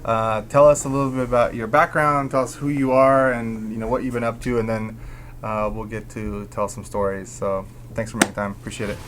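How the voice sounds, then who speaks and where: A man's voice: lowish voice, level tone, fairly quick, a bit bright, slight background noise. A man hosting an interview, asking loose, casual questions full of fillers. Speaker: interview host.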